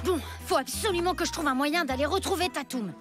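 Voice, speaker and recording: A woman plays a very high, childlike cartoon boy. He sounds headstrong and impulsive, with music clearly behind him. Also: fairly quick, close to an everyday voice. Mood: frustrated. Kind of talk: cartoon dub acting. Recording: music under the voice.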